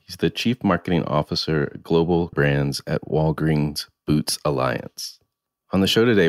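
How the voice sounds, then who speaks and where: A man with a very deep voice hosts a marketing interview podcast, chatting in a friendly, easy way. He is close to the mic in a dry studio with no room sound. He laughs easily with his guest. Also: no echo. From United States. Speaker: podcast host.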